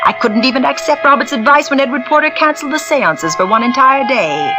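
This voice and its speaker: Actress voicing a scheming woman medium, 1940s radio play, theatrical, animated pitch, music behind. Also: close to an everyday voice, rough recording. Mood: brooding. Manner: intense, theatrical, rising to screaming hysteria. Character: scheming woman medium, proud, cornered into terror. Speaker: lead actress in an old radio drama. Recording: vintage radio recording with music.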